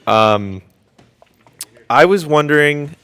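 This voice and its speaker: A man's voice: a little high, lively intonation, takes it slowly, fairly bright tone, clean tone. A young man hosting student radio in casual, laid-back, rambling chat with some hesitation. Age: young adult. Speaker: student radio host and interviewer. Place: radio studio. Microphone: studio microphone.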